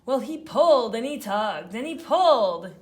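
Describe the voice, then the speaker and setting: A woman narrating a children's storybook read-aloud with expressive emphasis. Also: on the high side, a little slow.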